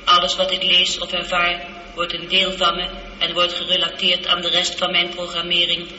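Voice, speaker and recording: An actress playing a calm, logical, seductive spaceship computer in a radio drama, speaking in a measured, precise and unemotional way. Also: female voice, on the low side. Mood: calm, factual. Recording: muffled, echoey, noisy old radio recording.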